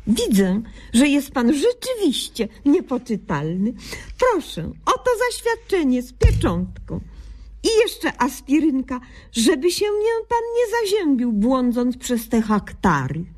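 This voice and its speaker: A woman playing an earnest, naive young doctor in a radio comedy sketch, deadpan in her quaintly mangled officialese. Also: sits high, measured pace, exaggerated voice. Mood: officiously caring.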